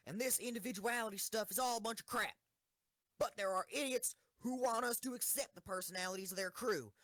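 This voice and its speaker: Casting: woman as swaggering schoolgirl commander, rousing war oratory, very expressive pitch, dry close sound. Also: no room sound, low-quality recording. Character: brash, bombastic schoolgirl tank commander giving a war speech. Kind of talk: rousing military speech in a comic audio drama.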